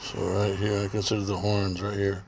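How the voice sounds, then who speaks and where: A man's voice: lowish voice, monotone, moderate pace, a little mellow, a little hiss or hum. A man giving a blunt, profane religious commentary in a casual ranting style, swearing heavily.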